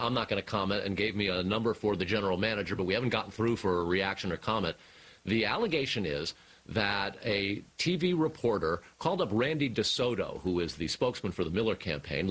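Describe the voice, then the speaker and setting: Low-voiced American news correspondent, man. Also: animated, brisk, fairly bright tone, rough recording. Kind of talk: live broadcast news report.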